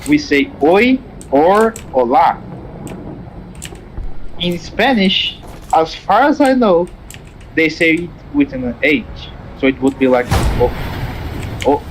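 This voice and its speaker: A man's voice: fairly high pitch, very animated, a little hiss or hum, poor audio. Brazilian man explaining earnestly in English. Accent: Brazilian Portuguese. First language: Portuguese. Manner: earnest, halting, explaining carefully. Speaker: moderator on a voice call.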